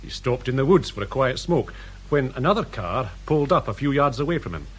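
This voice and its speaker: British police sergeant with lively intonation. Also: male voice, medium pitch, a little fast, slightly rough. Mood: matter-of-fact. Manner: deferential, matter-of-fact. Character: dutiful, polite male police sergeant. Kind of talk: radio crime drama acting.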